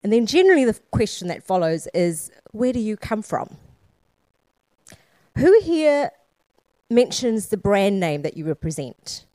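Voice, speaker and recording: A woman's voice: medium pitch, sing-song, a little slow, pauses often, dark tone, no echo. A woman from Sri Lanka, Scotland and New Zealand giving a conference keynote, using rhetorical questions and emphatic repetition. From born in Sri Lanka, raised in Scotland and New Zealand. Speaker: keynote speaker, founder.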